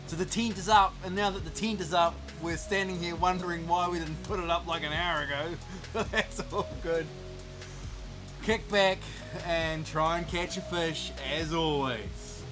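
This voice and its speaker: A man's voice: on the high side, moderate pace, music in the background. A man in his forties with a New Zealand accent, chatting cheerfully to camera while fishing from a small boat outdoors, laughing often. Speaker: fishing vlogger. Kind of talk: casual vlog chat to camera. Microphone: handheld camera at arm's length.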